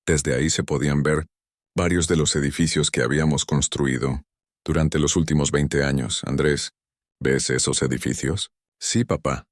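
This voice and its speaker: A man tells a first-person drama in a slow, stern, measured way. His voice is extremely deep and booming low. The recording is dry and close, with no room echo. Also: steady tone. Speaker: narrator. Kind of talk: first-person story narration.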